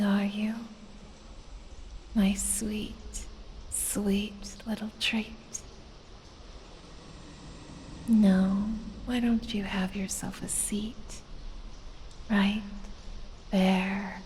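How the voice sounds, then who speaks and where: A sinister female lamia, acted by a woman murmurs in a breathy, mellow whisper. She draws out each phrase extremely slowly, with false sweetness and possessive menace. Long cavernous reverb trails every word of this audio roleplay. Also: mid-range voice. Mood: coaxing, possessive. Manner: drawn-out, softly taunting, falsely sweet, possessive. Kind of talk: ASMR audio roleplay, slow whispered monologue.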